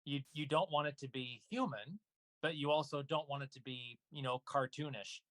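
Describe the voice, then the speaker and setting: A man's voice: mid-range voice, normal speed, a bit bright, no echo. A man talking casually as an interview guest, explaining his craft thoughtfully and step by step. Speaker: guest audio dramatist.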